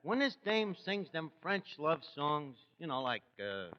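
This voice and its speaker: A man's voice: a little high, sing-song, measured pace. An actor playing a scheming, malaprop-prone New York tavern manager in 1940s radio comedy, slangy, hesitant and mangling his words. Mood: coaxing, scheming. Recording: noisy, muffled 1940s radio recording, echoey hall.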